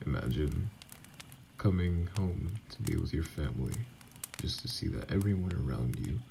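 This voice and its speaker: A man playing a wounded, bitter, self-loathing vampire in an ASMR audio drama, brooding and halting, with a gravelly, breathy voice that often drops near a whisper. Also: very deep voice, sing-song, dark tone, a little hiss or hum, full character voice. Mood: bitter, hurt.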